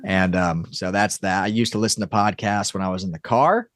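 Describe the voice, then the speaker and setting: Podcast guest guy, lowish voice, super dry recording, casual and kinda halting chat. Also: fairly even intonation, fairly bright tone, no room sound. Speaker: podcast guest, audio drama creator.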